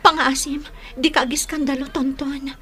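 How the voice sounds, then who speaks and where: A woman's voice: high voice, fairly even intonation, normal speed, fairly bright tone. An actress with an Ilocano accent playing a young woman who deceived her lover, speaking in a pleading, apologetic manner in a radio drama.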